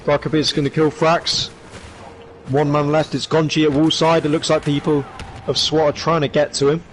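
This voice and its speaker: A man commentating an esports match play by play, hoarse from shouting, with quick excited calls. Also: a little high, slight background noise. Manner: rapid excited calls with rising bursts. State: hoarse, losing his voice.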